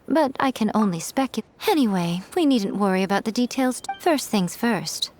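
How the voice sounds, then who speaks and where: A woman's voice: a little high, animated, moderate pace, a little mellow, slight background noise, close to an everyday voice. A woman playing a poised, self-assured shrine maiden in a video game, speaking in an airy, knowing, composed manner. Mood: composed explaining. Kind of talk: animated video game dialogue. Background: game music.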